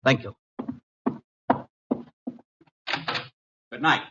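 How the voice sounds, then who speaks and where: A man's voice: fast, pushed and bright, slight background noise, lightly acted. An actor playing an abrupt, pushy and shifty male client, brusque and nervy, in a 1950s radio detective drama. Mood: uneasy. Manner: brusque, insistent. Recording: dull lo-fi vintage radio recording.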